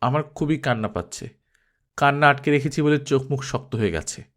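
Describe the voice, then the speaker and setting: A man's voice: medium pitch, lively intonation, brisk, fairly bright tone, natural voice. Man reading as a guarded, sardonic young woman. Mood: tearful. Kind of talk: Bengali audiobook character acting.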